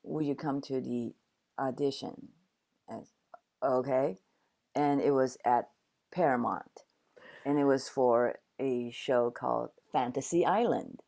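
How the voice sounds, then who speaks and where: Low-voiced older woman from Taiwan. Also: slow, vocal fry, long pauses, mellow. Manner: reflective storytelling with frequent restarts. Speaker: guest, dancer and actor. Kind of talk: conversational interview.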